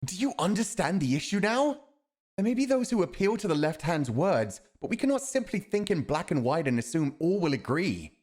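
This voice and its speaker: A man's voice: high voice, big pitch swings, fairly quick, a little mellow. A man acting a principled, earnest army commander advising a monarch in a fantasy audio roleplay, speaking in a formal, emphatic, righteous way. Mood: indignant.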